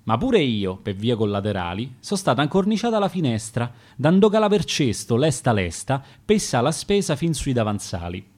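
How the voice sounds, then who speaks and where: A man's voice: mid-range voice, big pitch swings, moderate pace, few pauses, a little mellow. A man with a Roman accent voicing a chatty dead neighbour woman who gossiped all day from her window.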